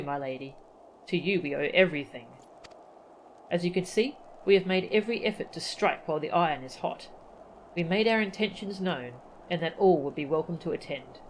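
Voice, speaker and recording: A woman's voice: fairly low pitch, slight background noise, natural voice, rough recording. A woman voicing a formal, courteous young noble from a fantasy game, reading the lines aloud.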